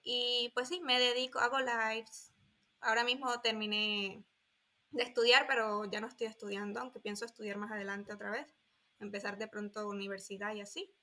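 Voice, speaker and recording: Bright-voiced young woman, hesitant. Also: on the high side, flat delivery, moderate pace. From Colombia. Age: 20s. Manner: hesitant, searching for words. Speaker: podcast guest. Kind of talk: casual interview talk.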